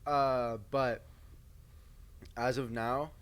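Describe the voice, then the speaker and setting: A man's voice: mid-range voice, fairly even intonation, extremely slow, fairly bright tone, clean tone. A young American man telling anecdotes casually among friends, in a slangy, boyish way, on a stick mic. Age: young adult. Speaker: podcast co-host. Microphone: stick microphone.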